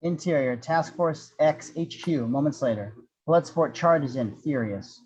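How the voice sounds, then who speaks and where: A man's voice: on the high side, measured pace, darkish tone, low-quality recording. A man reading scripted action descriptions as narrator of an amateur table read. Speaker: narrator of stage directions.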